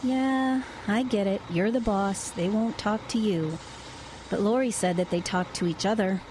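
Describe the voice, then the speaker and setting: A no-nonsense working woman acted by a woman in a radio drama, with a mid-pitched voice and a direct, conversational manner. She is dry and plain-spoken, a truck driver who says what she means. There is faint noise behind her. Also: a little slow, a little mellow, natural voice, low-quality recording. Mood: curious, dry. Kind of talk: audio drama acting and first-person narration.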